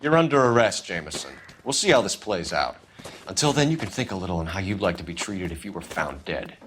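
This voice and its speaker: An American actor playing an earnest, formal police detective who questions briskly in a video game. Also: male voice, low-pitched, lively intonation, a bit bright. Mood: stern. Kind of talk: video game dramatic acting.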